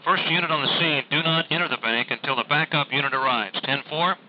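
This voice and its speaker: A man acting as a police dispatcher, calling units over the radio in a brisk, official manner. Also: fairly high pitch. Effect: police radio transmission. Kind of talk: clipped radio dispatch call. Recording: muffled 1970s film soundtrack with hiss.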